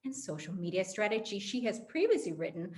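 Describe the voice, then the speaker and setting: A woman's voice: mid-range voice, big pitch swings, a little fast, darkish tone. A woman with an American accent hosting an online panel and introducing guests in a theatrical, emphatic way. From Los Angeles, United States. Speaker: moderator of a panel event. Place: online video call.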